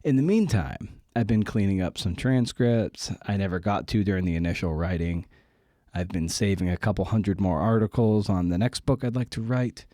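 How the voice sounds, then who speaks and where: A man with a low, mellow voice hosts a podcast in a casual, candid style. His manner is wry and self-deprecating. The sound is dry, with very little room in it. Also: normal speed, no room sound. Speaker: podcast host and writer.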